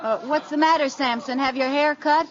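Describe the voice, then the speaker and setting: A woman's voice: high-pitched, moderate pace, muffled recording, a loud crowd, natural voice. A woman playing an eager, scheming, wisecracking housewife in an exclamatory 1940s radio comedy style. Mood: excited. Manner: animated, exclamatory. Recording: scratchy old radio show, studio audience.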